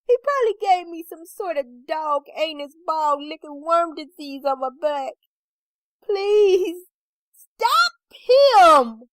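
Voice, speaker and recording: A woman's voice: very high-pitched, sing-song, slow, fairly bright tone, no room sound, voice pushed up, exaggerated voice, low-quality recording. A woman voicing a hysterical, pleading wife who shrieks and cries out in panic as part of a story. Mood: pleading, tearful.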